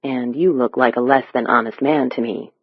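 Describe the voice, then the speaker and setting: A blunt, wary American thriller hero, read by an audiobook narrator. He is terse and low-key but firm, in a very dry, slightly muffled recording. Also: fairly even intonation, moderate pace, breathy edge, no echo, close to an everyday voice.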